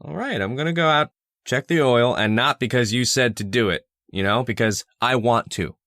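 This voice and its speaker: A man's voice: medium pitch, normal speed, fairly bright tone, dry recording, close to an everyday voice. An actor playing a touchy, volatile man in an old-time radio drama, insistent and casual in his speech. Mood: needling, defensive. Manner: casual, insistent, swinging between offhand and heated.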